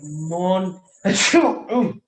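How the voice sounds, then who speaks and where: A young man playing a vain, tipsy wine connoisseur with a head cold, halting and pompous in a theatrical radio-play style. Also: high-pitched, expressive, roomy sound. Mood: tipsy, incredulous. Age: young adult. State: sneezing and coughing with a feigned cold. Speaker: student actor.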